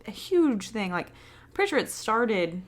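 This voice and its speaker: A woman's voice: on the high side, sing-song, a little slow. A woman co-hosting a casual podcast from home, giggly and blunt, with frequent fillers. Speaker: podcast co-host. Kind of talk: casual chat. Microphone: home recording setup.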